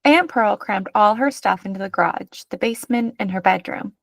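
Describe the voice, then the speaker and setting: A woman reading a children's picture book aloud as an expressive narrator. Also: medium pitch, moderate pace, gravelly, dry recording.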